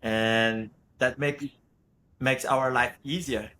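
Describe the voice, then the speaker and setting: A man's voice: medium pitch, fairly even intonation, slow, pushed and bright, slight background noise. A man from Brazil with a Brazilian Portuguese accent, Portuguese as his first language, speaking hesitantly as an actor guest in a casual interview. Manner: hesitant, many restarts.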